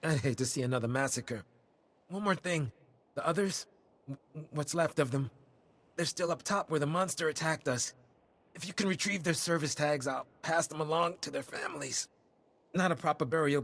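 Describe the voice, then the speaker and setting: A battered soldier in a video game. His voice is husky and a little high, and he talks in an earnest, halting, weary way, like someone giving a field report. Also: fairly bright tone. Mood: grateful, subdued. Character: weary wounded male soldier, grateful and earnest. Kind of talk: video game cutscene acting.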